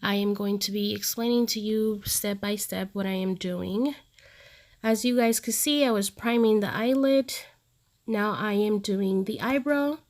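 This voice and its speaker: A woman's voice: medium pitch, a little slow, a little mellow. Casual makeup-artist woman, 30s, stuffy nose from a cold, friendly step-by-step voice-over. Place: home studio, recorded separately as voice-over.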